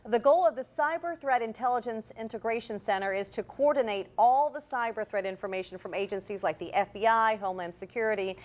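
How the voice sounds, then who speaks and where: A woman's voice: on the high side, fairly quick, hardly any pauses. A woman anchoring a morning TV newscast from a studio. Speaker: TV news anchor.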